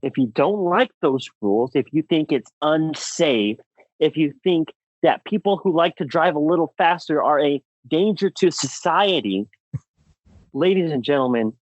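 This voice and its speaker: A man's voice: on the high side, lively intonation, unhurried, no room sound, highs cut off. A young American man co-hosting a podcast, delivering a comedic rant in an exasperated, emphatic, theatrical manner. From United States. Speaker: podcast co-host.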